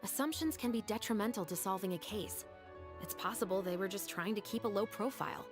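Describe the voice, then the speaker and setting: A woman playing a composed, methodical young investigator in a video game, speaking in a measured, formal way over music. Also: mid-range voice, close to an everyday voice. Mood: measured, analytical.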